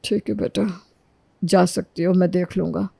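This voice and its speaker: A woman voicing a meek, pleading elderly father-in-law in a moral story, gentle and placating. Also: lowish voice, fairly even intonation, unhurried, creaky, breathy edge, no room sound, heavily acted. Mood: gentle, resigned.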